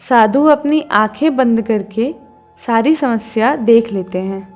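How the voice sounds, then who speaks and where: A woman's voice: a little high, unhurried, a little mellow, muffled recording, faint music behind. A woman narrating a children's cartoon story from a script.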